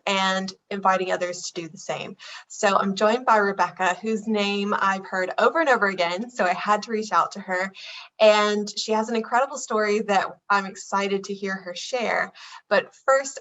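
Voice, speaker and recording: A woman's voice: mid-range voice, a bit bright, low-quality recording. A woman hosting an interview, enthusiastic and gushing, often using "like" and "um". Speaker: host, photographer. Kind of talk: interview host.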